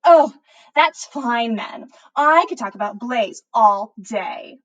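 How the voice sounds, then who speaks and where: A woman's voice: on the high side, lively intonation, slow pace, fairly bright tone, muffled recording. A woman putting on a gushing, boastful teenage schoolgirl. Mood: dreamy, relaxed.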